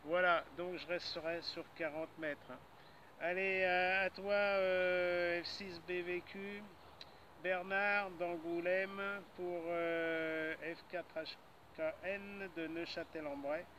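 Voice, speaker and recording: An older man with a bright, fairly high voice talks casually into a ham radio. He is chatty, dropping tag words after his phrases. He is outdoors, with some noise behind him. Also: fairly even intonation, slow pace, lo-fi sound. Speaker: amateur radio operator. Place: outdoors in a grassy park. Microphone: camera a few metres away, holding radio hand mic.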